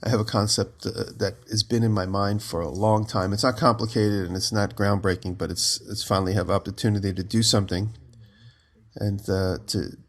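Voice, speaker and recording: A man with a lowish voice, still groggy and a little deeper than normal after waking up early. He rambles loosely through a story over a remote call from his home. His delivery is relaxed and chatty. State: just woke up, voice lower than usual. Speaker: podcast co-host. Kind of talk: casual chat.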